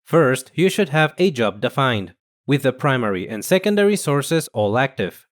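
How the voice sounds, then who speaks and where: A man's voice: mid-range voice, very animated, normal speed. Man narrating a scripted tutorial.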